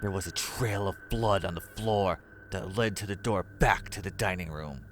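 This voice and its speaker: A man's voice: deep voice, normal speed, slight background noise. A man narrating a scripted horror story as its storyteller.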